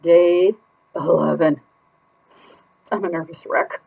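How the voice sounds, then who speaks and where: A woman's voice: fairly low pitch, fairly even intonation, slow, vocal fry, breathy edge, exaggerated voice. A woman reading a children's picture book aloud as a put-upon goldfish keeping a diary, with exaggerated, exasperated emphasis. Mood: frantic, fed up. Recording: dull lo-fi sound.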